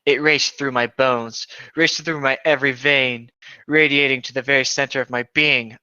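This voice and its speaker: A man's voice: mid-range voice, steady tone, normal speed, pushed and bright, smooth, no room sound. A man co-hosting, reading a story aloud with casual asides, on a slightly lo-fi microphone. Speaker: co-host.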